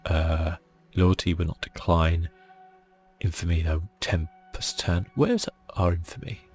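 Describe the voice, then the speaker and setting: A man giving soft-spoken ASMR commentary on a video game in a murmured, laid-back way, as if thinking aloud. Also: low voice, steady tone, unhurried. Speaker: gaming channel host. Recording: faint music behind.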